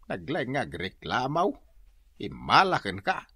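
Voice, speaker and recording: An actor playing an exasperated middle-aged husband in an Ilocano radio comedy-drama, exclamatory and chiding, with an Ilocano accent. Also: male voice, mid-range voice, huge pitch swings, unhurried, sharp tone, slightly rough, no room sound, studio quality, heavily acted. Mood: scolding. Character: scolding older husband, exasperated with his wife.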